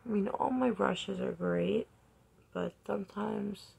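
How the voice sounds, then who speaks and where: A young woman vlogging a makeup tutorial at home, talking casually and softly, often near a whisper, with a slightly raspy voice, recorded close on a phone in a bedroom. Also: mid-range voice, takes it slowly. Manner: soft-spoken, often near a whisper while working. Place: bedroom, indoors. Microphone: phone camera close up.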